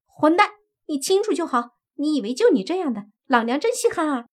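A woman voicing a hot-tempered young female fox demon who shouts shrill, scornful insults. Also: big pitch swings, moderate pace. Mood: angry scornful.

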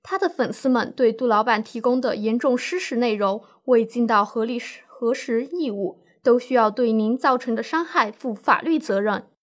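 A woman plays a lawyer advising a client in an educational radio drama. Her voice sits somewhat high, and she stays calm and reassuring as she lays out legal points in formal, explanatory phrasing. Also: normal speed, hardly any pauses, natural voice.

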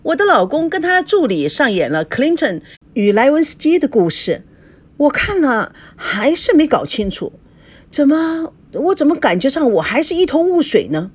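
A jealous, hot-tempered young wife played by a woman narrator in an audiobook drama. Her voice sits high and swings widely as she accuses and scolds with biting sarcasm. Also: sing-song, normal speed. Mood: sarcastic.

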